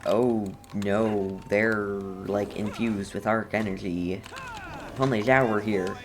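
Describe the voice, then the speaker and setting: Very low-voiced, unhurried woman gamer. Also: steady tone. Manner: playful, mutters reactions to herself. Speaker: game streamer. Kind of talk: casual playthrough commentary. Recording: faint noise, keyboard typing behind. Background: keyboard typing.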